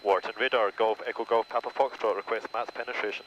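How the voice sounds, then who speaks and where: A man's voice: fairly low pitch, steady tone, fast talker. Male pilot on a dull, noisy cockpit intercom. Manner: formulaic, reading back instructions. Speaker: light aircraft pilot. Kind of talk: clipped aviation radio calls. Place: small propeller aircraft cockpit. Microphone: aviation headset. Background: engine drone.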